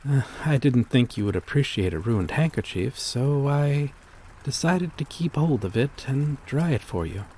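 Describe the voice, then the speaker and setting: A man playing a gentle, courteous vampire in an intimate ASMR roleplay, speaking in a formal, hesitant, apologetic way. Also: mid-range voice, expressive, a little slow, slight background noise, close to an everyday voice. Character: courteous, gentle male vampire stranger, kindly and old-fashioned.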